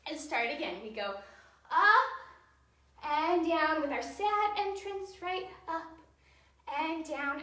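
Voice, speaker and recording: A woman's voice: high-pitched, pushed and bright. A young woman, a ballet teacher, leads children through a dance in simple, encouraging step-by-step instructions. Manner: encouraging, childlike-simple phrasing, counting out moves. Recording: roomy echo, distant microphone.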